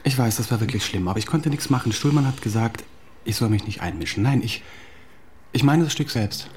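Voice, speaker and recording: An actor in a German radio play playing an insecure young writer and husband, needy and petulant, probing with incredulous questions. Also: male voice, medium pitch, lively intonation, brisk, a little mellow, natural voice. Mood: anxious, probing. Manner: probing, repeats words back incredulously.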